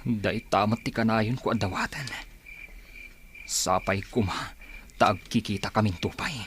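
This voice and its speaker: A man's voice: lowish voice, a little slow, fairly bright tone, slight background noise. An actor playing an aggrieved young man bent on revenge in an audio drama. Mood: pleading hope. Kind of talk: Filipino radio drama acting.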